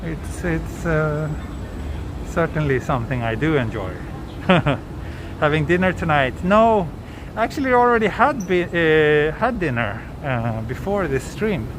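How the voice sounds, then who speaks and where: A man from southern Sweden chatting casually with viewers during a live walk, relaxed, with many ums and restarts. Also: a little high, unhurried. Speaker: live-streaming walk vlogger. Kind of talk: casual live-stream chat answering viewers. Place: outdoors on city streets at night. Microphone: handheld phone camera. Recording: street noise behind the voice. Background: traffic and street ambience.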